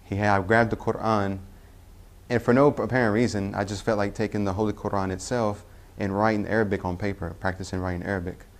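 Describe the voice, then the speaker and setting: A young man from Tennessee, telling his personal faith story in a halting way, with frequent pauses and self-corrections. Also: fairly low pitch, little pitch movement. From Tennessee, US Southern. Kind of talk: personal testimony.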